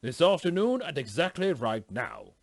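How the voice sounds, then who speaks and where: A man's voice: on the high side, big pitch swings, normal speed. A man doing a formal news announcer. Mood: announcing. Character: male news announcer reading a bulletin.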